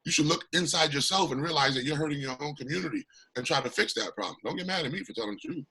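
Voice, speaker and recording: A man with a husky, raspy voice talks with passion and emphasis as an interview guest, still recovering from an illness. His tone is bright and forward. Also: a little high, very fast, poor audio. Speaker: congressional candidate, guest. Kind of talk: remote interview.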